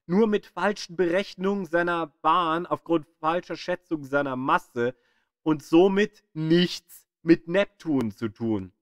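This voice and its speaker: A man reading a scripted educational audio guide in a measured, emphatic storytelling manner, with pauses. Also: a little high, no room sound, studio quality.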